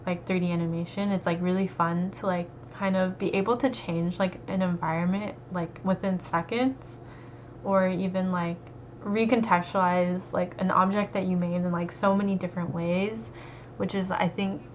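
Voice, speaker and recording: A young American woman, an art student, talking casually and relaxed, with lots of fillers. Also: lowish voice, normal speed. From United States. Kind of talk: casual conversation. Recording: dull lo-fi recording with faint noise.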